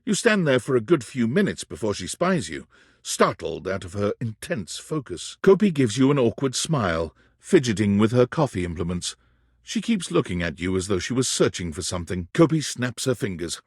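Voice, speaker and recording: A man's voice: fairly low pitch, sing-song, normal speed, slightly rough, no echo, studio quality, heavily acted. A man narrating a quirky romance video game in a dramatic, descriptive storytelling manner. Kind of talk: scripted game narration, second person.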